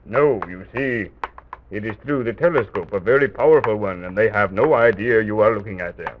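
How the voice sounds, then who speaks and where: An actor playing a kindly enchanted knight who guides visitors in an old children's radio serial, speaking in a measured, formal, explanatory way. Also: male voice, on the low side, lightly acted. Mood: calm, courteous. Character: kindly male enchanted armored knight guide, patient and stately. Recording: noisy, dull vintage radio recording.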